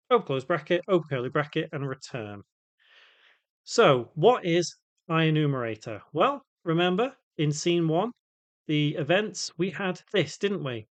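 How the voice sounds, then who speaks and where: A man hosting a step-by-step software tutorial, explaining each piece in turn. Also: on the high side. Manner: explanatory, spells out code piece by piece. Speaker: tutorial host.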